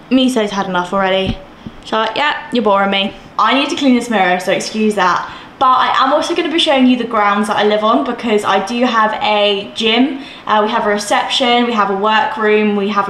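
A young woman in her twenties chatting casually and excitedly as she shows off her new flat, filmed on a handheld camera. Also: fairly high pitch, a little hiss or hum. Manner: chatty, bubbly, gushing with excitement. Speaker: vlogger. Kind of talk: casual home tour vlog. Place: mostly empty new flat, indoors. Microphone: camera mic, handheld camera. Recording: echoey empty rooms.

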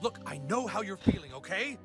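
A man's voice: high voice, lively intonation, fairly quick, vocal fry. A man voicing an earnest, blunt adult male character in a cartoon video game. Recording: game music over the dialogue.

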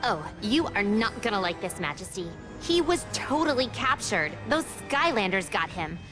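A woman playing a sassy, girlish spirit villainess with a casual manner in an animated game. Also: medium pitch, moderate pace, music in the background, lightly acted. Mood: wry. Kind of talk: animated game cutscene acting.

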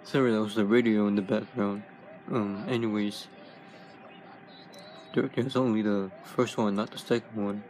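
A man's voice: lowish voice, fairly even intonation, moderate pace, long pauses, darkish tone, a little hiss or hum, close to an everyday voice, poor audio. A man voicing a laid-back male robot toy hero in a home toy video, talking with lots of fillers and restarts. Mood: easygoing. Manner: rambling, many fillers.

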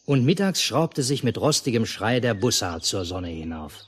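An actor playing a calm storyteller in a 1950s radio play, narrating a lakeside village tale in measured, literary phrases. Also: male voice, fairly low pitch. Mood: measured storytelling. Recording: noisy 1950s mono radio recording.

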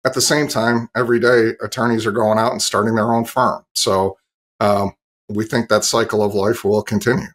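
A man's voice: on the low side, flat delivery, moderate pace. A man in his fifties giving explanatory answers as an interview guest, talking over a video call from a home office. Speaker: interview guest, industry analyst. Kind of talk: explanatory business interview talk.

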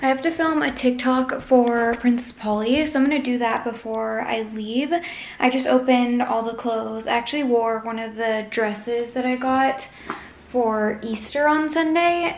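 A woman in her twenties talks informally to her vlog viewers in a slightly scratchy, fairly high voice with plenty of vocal fry. She peppers her chat with "like" as a filler. She is recorded indoors at home, with faint ambient noise behind her. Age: 20s. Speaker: lifestyle vlogger.

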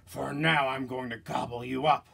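A man's voice: mid-range voice, fairly even intonation, gravelly, fairly bright tone, slightly rough, exaggerated voice. A man voicing a mean, hungry storybook troll who roars out threats. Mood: menacing. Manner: roaring, drawn-out threats. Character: mean, ugly, hungry troll under a bridge.

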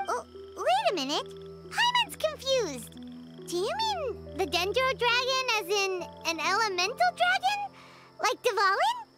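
A woman voicing a tiny, childlike fairy companion in a video game, exclamatory, excitable and easily confused. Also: extremely high pitch, measured pace, over loud music, full character voice. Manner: exclamatory, refers to herself in third person.

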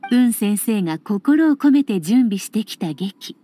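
A woman playing a poised, calculating woman leader in an anime game, speaking in a composed, elegant, deliberate way. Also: mid-range voice, expressive, mellow, faint music behind. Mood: composed, gracious. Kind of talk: Japanese anime game voice acting.

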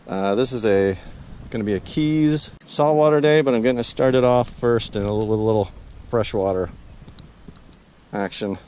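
A man giving casual, off-the-cuff fishing commentary into a body-worn action camera, halting and muttering to himself as he goes. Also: mid-range voice. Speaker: angler, vlog host. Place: outdoors on bridge and canal bank. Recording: outdoors with loud wind and traffic noise.

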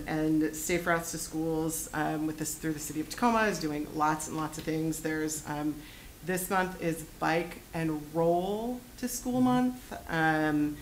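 A woman's voice: deep voice, slight background noise, rough recording. A middle-aged woman school board member, speaking at a desk microphone in a board meeting room, giving a casual, rambling report full of fillers with a Pacific Northwest American accent.

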